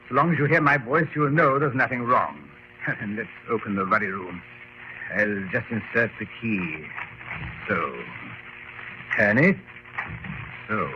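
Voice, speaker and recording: An actor playing a confident, scornful aristocrat with breezy diction in an old radio drama. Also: male voice, medium pitch, lightly acted. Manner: hearty, breezy, old-fashioned upper-class diction. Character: confident English aristocrat, jovially scornful of ghost legends. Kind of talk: 1950s radio drama acting. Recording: noisy lo-fi old radio recording.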